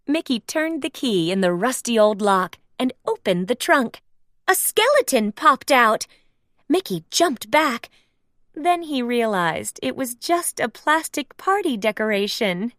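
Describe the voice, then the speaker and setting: A woman narrating a children's storybook aloud in an expressive read-along style. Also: fairly high pitch, measured pace, no echo, clean studio sound.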